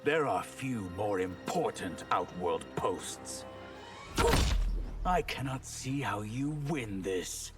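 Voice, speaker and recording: A man's voice: fairly low pitch, lively intonation, slow. A man voicing a solemn, haughty water mage, with grave and formal pronouncements in a video game. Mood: solemn, proud. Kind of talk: video game fight dialogue. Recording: slightly distant, music behind.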